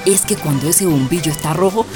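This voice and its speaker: A woman's voice: low voice, a little fast, close to an everyday voice. A woman playing an eager, naive young son in a radio drama, explaining earnestly. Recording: music behind.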